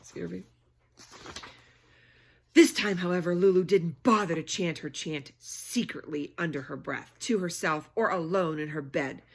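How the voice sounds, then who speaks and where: A woman's voice: on the low side, a little slow, breathy edge. A woman storyteller reading a children's book aloud expressively, adding chatty asides and prompting listeners with questions.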